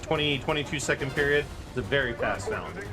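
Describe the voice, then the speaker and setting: A man's voice: medium pitch, animated, fast. A man giving casual, playful live gameplay commentary as a speedrunner, with game music behind him. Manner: playful, chatty, theatrical asides. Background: game music and sound effects.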